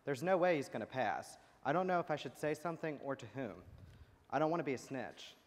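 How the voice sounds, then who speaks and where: A man's voice: medium pitch, animated, brisk, natural voice. A young man playing a conflicted, uneasy college student in a staged monologue. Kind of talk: staged readers theater monologue. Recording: echoey hall.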